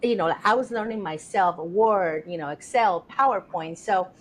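A woman's voice: on the low side, normal speed, slight background noise, rough recording. Earnest Colombian-born woman telling her story. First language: Spanish. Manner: earnest, reflective, many fillers. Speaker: guest. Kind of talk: casual interview storytelling.

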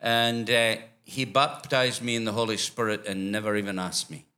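A man's voice: on the low side, level tone, moderate pace, background noise. An older man from Scotland with a Scottish accent, telling his faith story as a guest in a church interview, rambling and restarting often.

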